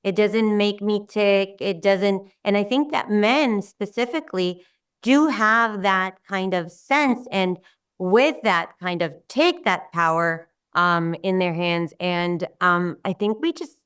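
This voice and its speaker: A middle-aged woman with an Israeli accent, speaking English as her second language, heard slightly away from the mic. Her voice is smooth and resonant. She rambles warmly, often restarting her sentences. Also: mid-range voice, slow pace, far from the mic. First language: Hebrew. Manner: rambling, warm, with many restarts and fillers. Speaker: guest. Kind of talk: casual interview talk.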